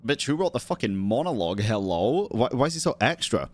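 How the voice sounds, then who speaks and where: A young man doing casual, slangy game-stream commentary, reacting out loud and swearing freely. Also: medium pitch, sing-song, fairly quick.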